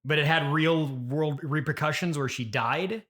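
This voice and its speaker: A man playing a bewildered podcast interviewer, asking halting, skeptical questions in a casual mock interview. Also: fairly high pitch, a bit bright. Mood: bewildered, probing. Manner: hesitant, halting, politely skeptical. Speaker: podcast host interviewing guests.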